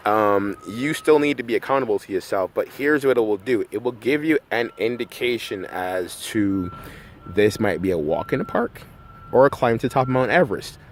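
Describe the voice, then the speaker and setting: A man's voice: lowish voice, expressive, moderate pace, a little hiss or hum. Casual man talking outdoors in a park. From Barbados. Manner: conversational, often tags "right?". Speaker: numerology coach. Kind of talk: casual vlog monologue. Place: outdoors in a park by road works.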